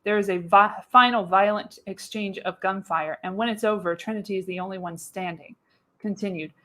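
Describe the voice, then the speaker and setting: A woman narrates a screenplay live as a radio play. Her medium-pitched voice reads the action with dramatic, storytelling emphasis. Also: steady tone, normal speed, bright, forceful tone.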